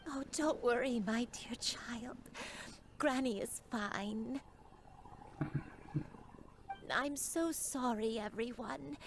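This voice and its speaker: A woman voicing a gentle, remorseful grandmother who is secretly a crane spirit, in a video game, speaking tenderly and solemnly. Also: fairly high pitch, slow, background noise. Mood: tender, apologetic.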